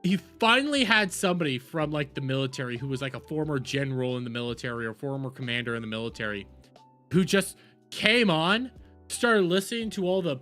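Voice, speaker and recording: A man's voice: medium pitch, expressive, brisk, pushed and bright, soft background music. A man giving casual, rambling livestream commentary and reactions in a blunt, emphatic, profane way.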